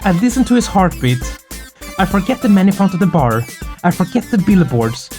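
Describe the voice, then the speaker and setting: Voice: mid-range voice, sing-song, brisk, a little mellow, soft background music, lightly acted. A performer reading as an anxious, lovestruck narrator of a visual novel, breathless and intense, in short dramatic phrases. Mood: tender, nervous. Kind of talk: dramatic first-person story reading.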